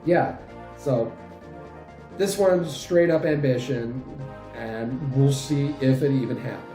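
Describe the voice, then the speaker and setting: A man in his late twenties giving a casual channel update in a halting, rambling way. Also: mid-range voice, warm tone. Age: late 20s. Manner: halting, rambling, frequent chuckles mid-sentence. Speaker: channel host and fan dub group leader. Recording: roomy echo, faint music behind.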